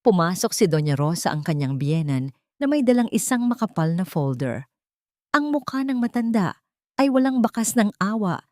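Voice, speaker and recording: A woman's voice: mid-range voice, sing-song, moderate pace, darkish tone, dry recording, clean studio sound. A woman narrating a scripted dramatic story.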